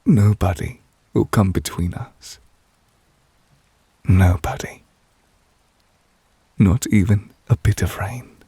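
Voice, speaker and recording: A man playing a devoted, possessive yandere witch in an intimate ASMR roleplay, speaking softly and breathily, often near a whisper. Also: on the low side, breathy edge, no echo, full character voice. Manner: slow, tender, murmured endearments.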